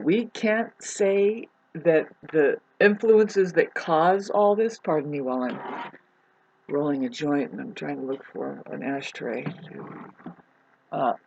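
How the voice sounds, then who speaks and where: A woman with a fairly low, husky voice rambles through a casual solo vlog. Her delivery is halting and meandering, and she often leaves a thought unfinished before picking up another. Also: a little mellow, dull audio. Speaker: vlogger. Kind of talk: rambling spoken monologue, casual chat.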